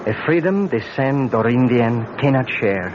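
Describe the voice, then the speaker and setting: An actor playing a grave, courteous young foreign prince in a radio drama, with formal, measured phrasing. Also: male voice, medium pitch, expressive, natural voice. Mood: grave, resolute. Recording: dull, noisy old radio.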